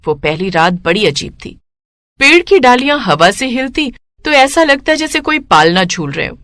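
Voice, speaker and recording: Animated woman storyteller. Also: on the high side, normal speed. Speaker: narrator. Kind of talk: scripted story narration.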